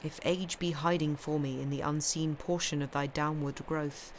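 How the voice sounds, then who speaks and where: A woman's voice: deep voice, steady tone, moderate pace, slight background noise. A woman hosting a live stream of festive readings from home, speaking into a streaming microphone. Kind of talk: expressive literary reading aloud; casual live-stream chat.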